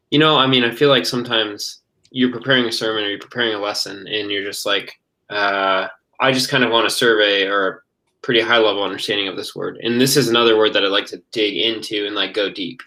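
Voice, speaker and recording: A man's voice: lowish voice, bright, forceful tone, rough recording. A man hosting a live panel over a video call, talking casually with many fillers and restarts. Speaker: host.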